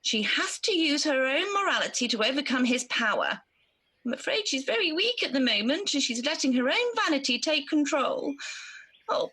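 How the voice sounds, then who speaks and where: A woman's voice: sits high, bright, forceful tone, heavily acted. A woman playing an earnest, protective good fairy, speaking theatrically in a radio pantomime.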